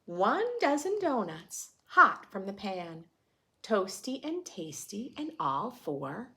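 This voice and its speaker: A woman's voice: medium pitch, very animated, slow pace, bright, forceful tone. A woman hosting a read-aloud for preschoolers, expressively reading a picture book and adding simple teaching questions and explanations in a dramatic way.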